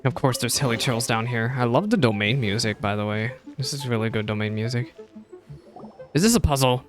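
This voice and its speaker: A male streamer with a middle-pitched voice reacts casually to a game. He thinks aloud in a chatty, playful way. Faint game music sits behind his voice. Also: fairly quick. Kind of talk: casual live gameplay reaction commentary.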